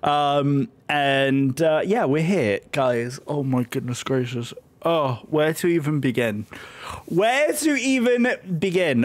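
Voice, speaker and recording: A British man, hoarse from a voice that is still recovering, chatting casually and effusively with viewers on a live stream. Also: fairly high pitch. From Britain.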